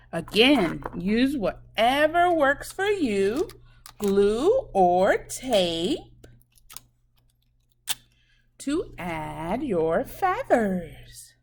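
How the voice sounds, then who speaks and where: A woman preschool teacher leading a children's craft lesson in an animated, sing-song way, asking questions and answering them herself. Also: fairly high pitch, a little hiss or hum.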